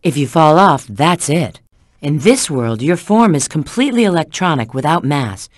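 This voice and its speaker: A woman's voice: low-pitched, lively intonation, moderate pace, dry recording, clean studio sound. A woman voicing a sly, flirtatious, confident treasure-hunting agent in a video game, giving hints and callouts with teasing assurance. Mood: instructive, confident.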